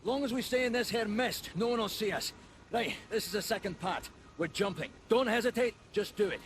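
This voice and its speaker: A man playing a gruff rebel leader. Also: high voice, a little fast, slight background noise, close to an everyday voice. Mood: commanding. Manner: gruff, profane, commanding. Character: gruff older male Scottish resistance leader. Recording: game audio with loud music.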